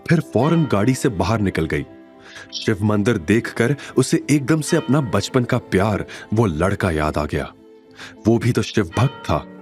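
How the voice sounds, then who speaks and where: A man's voice: fairly low pitch, darkish tone. A man narrating a scripted audio story in a dramatic style. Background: background music.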